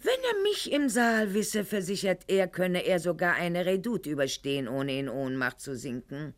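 A woman's voice: fairly low pitch, sing-song. Proud, theatrical court lady, swooping intonation. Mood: indignant, justifying. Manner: eloquent, mannered, formal diction with dramatic pauses and sudden outbursts. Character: proud, articulate 18th-century Weimar court lady, indignant and self-justifying. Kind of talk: theatrical radio play monologue.

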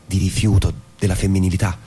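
An actor playing an earnest, self-justifying husband in a stage drama, reasoning haltingly and carefully with his wife. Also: sits low, level tone, warm tone, breathy edge. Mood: careful probing. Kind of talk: naturalistic stage drama dialogue.

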